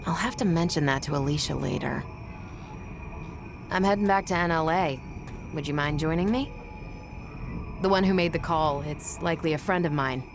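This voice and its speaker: Low-pitched, breezy woman mech pilot. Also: normal speed, pauses often. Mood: thoughtful, breezy. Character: young woman mech test pilot, confident, breezy and blunt. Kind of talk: video game cutscene acting. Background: music.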